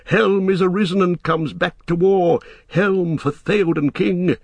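A man's voice: high-pitched, fairly even intonation, measured pace, creaky, husky, no room sound, voice pushed up, exaggerated voice. A man voicing a band of male riders raising a rousing battle cry. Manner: shouting, rousing.

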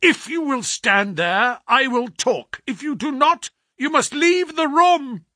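A man voicing a gravely ill detective who croaks feebly yet commands sharply. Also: extremely high pitch, animated, slow pace, sharp tone, dry recording, studio quality, pitched above the performer's own voice, heavily acted. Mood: imperious. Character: gravely ill male detective, imperious and feverish.

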